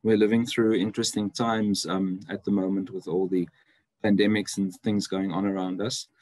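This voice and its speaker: A man in his thirties from South Africa, first language Afrikaans, speaks with a fairly low voice. He talks friendly and chatty, filling pauses with ums. The sound is dry and close, from a laptop on a video call. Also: little pitch movement, darkish tone, no echo. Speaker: university lecturer presenting. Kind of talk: online seminar presentation. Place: indoor room, video call.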